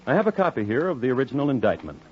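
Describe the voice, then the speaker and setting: An actor playing a wry, formal storyteller, narrating a scripted 1950s radio crime drama in a measured, theatrical manner. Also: male voice, medium pitch, very animated, soft background music, lightly acted. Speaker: narrator host. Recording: muffled old radio recording.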